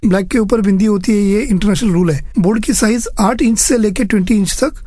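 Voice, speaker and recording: A man's voice: high voice, brisk, dark tone, dry recording. A man giving earnest, methodical explanations as an interview guest, mixing in English phrases. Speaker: chess federation official interviewed as guest.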